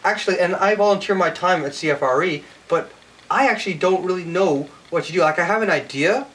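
A man's voice: high-pitched, a little fast, fairly bright tone. A man in his thirties hosting a casual interview show from an indoor studio. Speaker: TV show host. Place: furnished indoor music room studio. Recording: distant mic with clear background noise.

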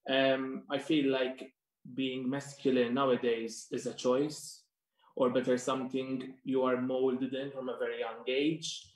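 A young adult man on a video call in a panel discussion, speaking haltingly and repeating words as he searches. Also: mid-range voice, flat delivery, reverberant, low-quality recording. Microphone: webcam on a video call.

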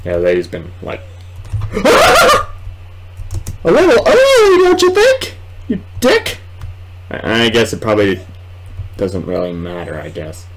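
A man in his twenties giving casual, rambling let's play commentary at home, halting at times. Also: medium pitch, huge pitch swings. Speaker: gaming video host. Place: small room at home, indoors.

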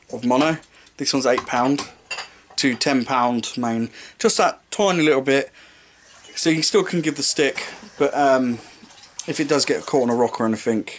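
A man's voice: mid-range voice, a little hiss or hum. A young man in his twenties walking through his fishing tackle to camera in a small room, casual and rambling, often correcting himself mid-sentence. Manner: rambling, self-correcting, offhand jokes about his knots and lighting. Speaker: fishing vlogger. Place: small indoor room with striped curtain. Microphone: camera mic at close range.

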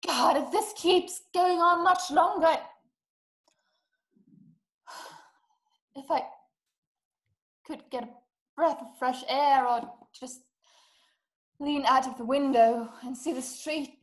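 High-voiced woman, young actress playing anxious invalid wife, haughty, dramatic, easily exasperated, radio-play acting. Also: slow, pauses often. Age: young adult. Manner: agitated, dramatic, imperious. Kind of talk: radio-play acting over video call. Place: small room indoors. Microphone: webcam video call.

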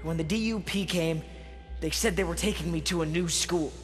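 An actor playing a timid, bullied young American man in a video game, speaking haltingly. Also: a little high, faint music behind, lightly acted. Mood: haunted, halting. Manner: hesitant, halting. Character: timid, bullied young gamer recluse with powers. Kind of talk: video game acting, brooding narration.

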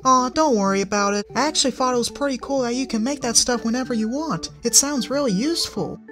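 A reader voicing a bubbly, excitable young female seapony creature in a storybook reading. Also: mid-range voice, quick pace, soft background music, voice pushed up, lightly acted. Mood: giddy. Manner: giddy, excitable.

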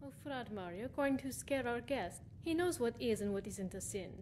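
An actress playing a superstitious rustic wife and mother in a video game, homely, gently scolding and eerily hospitable. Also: fairly high pitch, lively intonation, moderate pace, slight background noise, lightly acted. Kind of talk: video game character acting.

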